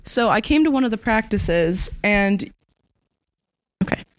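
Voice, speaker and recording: A woman hosting a school podcast, asking questions in an upbeat, brisk way. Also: medium pitch, lively intonation, slight background noise. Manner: upbeat, quick interviewer. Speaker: student podcast host. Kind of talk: casual chat.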